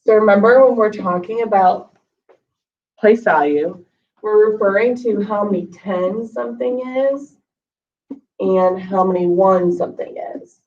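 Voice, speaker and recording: A woman's voice: medium pitch, unhurried. A woman teaching a recorded math lesson to first graders, talking patiently and counting aloud, her voice slightly scratchy from allergies. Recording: lo-fi, voice a bit far from the mic.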